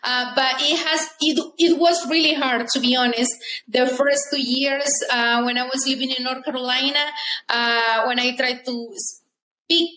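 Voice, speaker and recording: A woman's voice: sits high, fairly even intonation, measured pace, bright, forceful tone. A woman in her forties from Mexico with a Mexican Spanish accent, a native Spanish speaker, talking earnestly as a guest in a casual interview. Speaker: guest, real estate agent. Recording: echoey room with faint noise.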